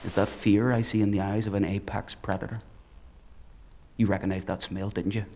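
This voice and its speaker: An actor playing a menacing, fanatical hunter in a dark fantasy audio drama, speaking in a deliberate, taunting, theatrical way. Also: male voice, on the low side, flat delivery, a little hiss or hum, natural voice. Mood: gloating, taunting. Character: menacing male fanatical hunter, coldly articulate, mocking.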